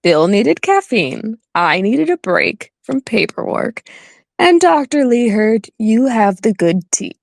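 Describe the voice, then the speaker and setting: Woman playing a teasing lady lawyer in an audio play, highish voice, really animated. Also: slow pace, vocal fry, no room sound, clean studio sound, heavily acted. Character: quick-witted woman lawyer, teasing and affectionate friend.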